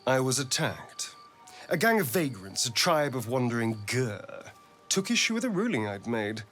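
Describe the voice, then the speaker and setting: A man's voice: mid-range voice, big pitch swings, moderate pace, a bit bright, soft background music. A man playing a sardonic vampire spawn in a video game, with an arch, dramatic delivery. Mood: wry, bitter. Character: theatrical, sardonic male vampire spawn, former magistrate. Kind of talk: video game character acting.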